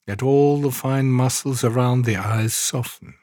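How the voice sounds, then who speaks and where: Male meditation narrator, unhurried and calm, mid-range voice, soothing scripted guidance with generous pauses. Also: medium pitch, dry recording, clean studio sound. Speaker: meditation guide, podcast host. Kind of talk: guided yoga nidra relaxation meditation, scripted narration.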